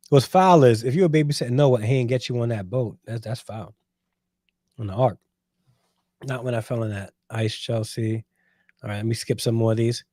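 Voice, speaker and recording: A man in his forties hosts a casual livestream and answers his chat with playful, teasing banter. His voice sits at an ordinary middle pitch. The sound is dry and close, with no room echo. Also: darkish tone. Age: 40s. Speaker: host reading live chat.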